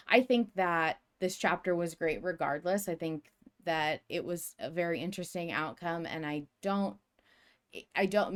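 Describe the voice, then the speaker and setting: A woman co-hosting a podcast, speaking casually in a measured, tactful way during a fan discussion. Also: on the low side, clean tone. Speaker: podcast host.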